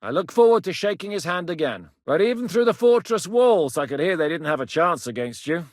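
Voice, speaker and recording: An actor playing a blunt, battle-hardened middle-aged mercenary commander in a video game, coarse-spoken with a dry wit. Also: male voice, fairly high pitch, big pitch swings, brisk, no echo. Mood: grim, relieved. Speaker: military commander. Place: studio booth. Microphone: close studio mic.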